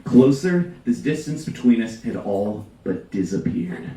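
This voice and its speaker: A man reading a comic story aloud at a live event, with theatrical emphasis and dramatic pauses. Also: medium pitch, very animated, dark tone, faint music behind. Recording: echoey live room, distant mic, background noise.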